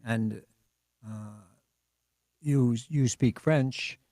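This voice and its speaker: A man's voice: medium pitch, drawn-out words, darkish tone, no room sound, clean studio sound. A man, a church leader hosting an interview, asking curious questions with frequent restarts. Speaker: bishop host. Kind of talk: interview conversation.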